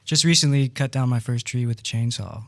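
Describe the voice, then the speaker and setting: A man acting in a community theatre show, speaking in a relaxed, hesitant way with many ums in a radio interview. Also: mid-range voice, fairly quick.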